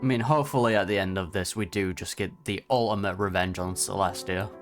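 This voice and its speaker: A man's voice: sits low, fairly even intonation, brisk, soft background music. A man giving casual reaction commentary on a video game, conversational and sympathetic in manner. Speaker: gaming reaction streamer.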